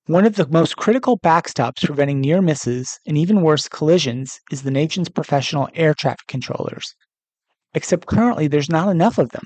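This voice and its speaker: A man's voice: on the high side, darkish tone, no echo. An American man hosting a podcast, reading a scripted news report. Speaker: podcast host.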